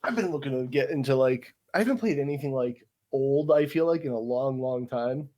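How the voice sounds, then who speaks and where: A man co-hosting a casual podcast, talking in loose rambling runs full of filler words. His voice sits at an ordinary middle pitch. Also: a little fast, mellow. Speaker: podcast co-host. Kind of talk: casual chat.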